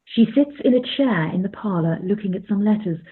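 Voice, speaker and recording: A woman narrates a radio play from a script, reading the stage directions. Her voice is medium in pitch and husky, and the recording sounds thin, like a phone line. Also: lively intonation, a little fast.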